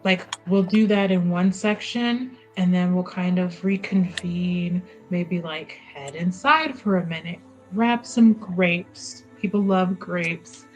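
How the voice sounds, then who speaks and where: A woman playing in a tabletop game, chatty and giggly, thinking her plans out loud. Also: fairly low pitch, steady tone, slow pace, hardly any pauses, faint music behind, rough recording. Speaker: player. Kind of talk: tabletop roleplay chat.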